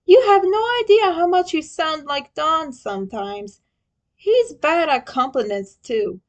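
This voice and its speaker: A woman acts out a young male turtle hero from a fan story, wounded but still teasing. The voice is high and emotional. He talks haltingly, with a sentimental streak. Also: expressive, dull audio.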